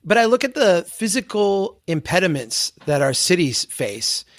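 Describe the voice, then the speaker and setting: A man's voice: high-pitched, sing-song, unhurried. An American man in his forties explaining his views earnestly and with conviction as an interview guest. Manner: earnest, opinionated, uses rhetorical contrasts. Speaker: podcast guest, advocate for towns.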